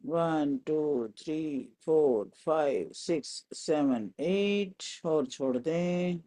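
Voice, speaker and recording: Slow-paced Indian man guiding meditation. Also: on the high side. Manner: calm, soothing, instructing in short phrases with pauses. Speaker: guided meditation teacher. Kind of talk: guided meditation instruction.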